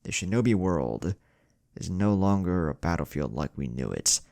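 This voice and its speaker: A man voicing a calm male ninja leader who explains things in a measured way in a story. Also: sits low, gravelly, no room sound, clean studio sound, exaggerated voice. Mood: informative. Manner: measured, informative. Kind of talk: fan fiction story reading.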